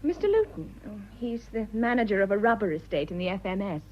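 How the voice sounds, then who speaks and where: An actress playing a gently teasing, sociable wife with an upper-class English accent in a radio drama. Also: female voice, mid-range voice, sing-song, fast talker, dull audio, a little hiss or hum, natural voice. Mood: sociable, light. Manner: light, coaxing, politely sociable. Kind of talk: stage comedy of manners radio acting.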